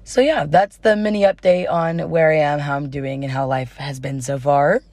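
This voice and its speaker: A woman's voice: low voice, steady tone, moderate pace, darkish tone, no room sound. A young adult woman hosting a casual solo podcast in an informal, chatty way, her voice slightly worn as if she is starting to lose it. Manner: informal, giggly, uses y'all. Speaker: podcast host.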